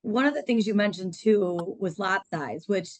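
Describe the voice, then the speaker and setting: A woman's voice: medium pitch, moderate pace, dry recording. A woman hosting an interview in a conversational style, putting questions to a guest. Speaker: host interviewer.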